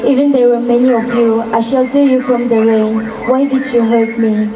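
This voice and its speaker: A woman's voice: fairly high pitch, level tone, normal speed, recorded from a distance, a crowd in the background, natural voice. A woman playing a devoted, lovesick young village daughter in an amateur folk tale drama, earnest and pleading. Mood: sorrowful. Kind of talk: amateur stage-style acting of a folk tale. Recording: echoey room, off-mic, faint noise.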